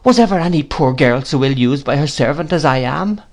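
A man's voice: very animated, a little slow, vocal fry, heavily acted. A man voicing a pretty young mother who is tearful, easily wounded and reproachful, in a read-aloud story. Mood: distressed. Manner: high, pleading and reproachful.

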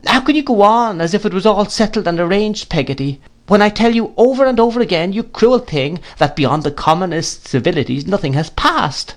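A man's voice: sing-song, measured pace, gravelly, few pauses, heavily acted. A man voicing a pretty young mother who is tearful, easily wounded and reproachful, in a read-aloud story. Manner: high, pleading and reproachful.

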